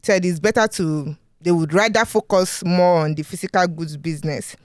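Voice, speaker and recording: A podcast host with a Yoruba-accented Nigerian English accent, chatting casually in a studio and going over news with many ums and pauses. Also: medium pitch, no room sound. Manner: hesitant, many ums, laughs often.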